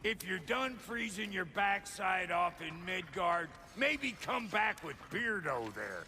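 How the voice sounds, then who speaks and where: An actor playing a gruff, cantankerous dwarf blacksmith with a Southern US accent and a gravelly, folksy voice in a video game. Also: male voice, high voice, sing-song, slow, bright, forceful tone, soft background music, full character voice. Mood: gruffly welcoming. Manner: folksy, blunt, bossy. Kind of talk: cinematic video game acting.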